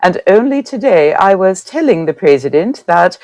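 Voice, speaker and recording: A woman playing a dignified, genteel First Lady reading her newspaper column in a measured old time radio drama style. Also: fairly low pitch, very animated, darkish tone, no echo, low-quality recording. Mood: stately, admiring.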